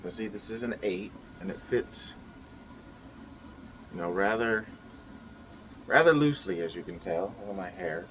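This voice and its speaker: A man's voice: low-pitched, a little slow, long pauses, fairly bright tone, slight background noise, low-quality recording. A young man chatting casually through his daily vlog plans, a little nasal and rambling with lots of fillers. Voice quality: slightly nasal. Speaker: vlogger.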